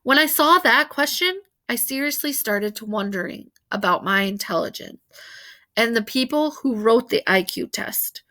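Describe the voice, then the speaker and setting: High-voiced woman reading a story aloud. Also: expressive, normal speed, sharp tone. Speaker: storybook reader. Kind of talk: expressive read-aloud narration of a children's novel.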